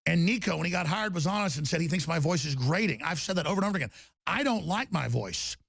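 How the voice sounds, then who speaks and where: A man hosting a talk radio show, raspy and torn-up in tone, rambling emphatically with loud bursts and low mutters. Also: expressive, quick pace, no echo, clean studio sound. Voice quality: raspy, gravelly voice. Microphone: studio microphone.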